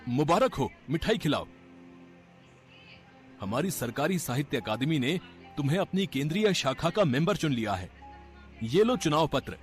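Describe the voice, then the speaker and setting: A man's voice: on the high side, sing-song, normal speed, pauses often, soft background music. A man voicing a fussy, officious literary secretary in a cartoon story, speaking in a declamatory, effusive way. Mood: congratulatory. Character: pompous, fussy male government literary secretary.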